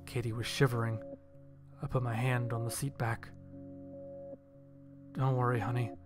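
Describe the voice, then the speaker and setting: A man's voice: mid-range voice, little pitch movement, moderate pace. A man narrating a scripted first-person story and acting out his own lines of dialogue. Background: background music.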